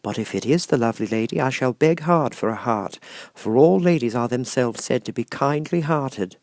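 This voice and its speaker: A man voicing a gentle tin woodman, earnest, polite and plaintive. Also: mid-range voice, lively intonation, normal speed, no room sound, studio quality, voice pushed up, close to an everyday voice. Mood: hopeful, musing.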